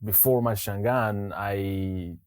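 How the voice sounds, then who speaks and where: A man from Mozambique hosting a podcast interview, talking in a casual, halting way with frequent restarts. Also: lowish voice, steady tone, darkish tone, no echo, clean studio sound. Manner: halting, many restarts and fillers. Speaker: podcast host.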